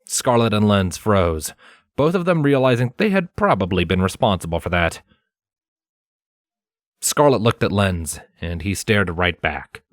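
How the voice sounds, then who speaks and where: A man reads a story as its narrator, with lively intonation that moves up and down. The sound is very dry, with no room on the voice. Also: on the low side, unhurried, no echo. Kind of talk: dramatized fan fiction narration.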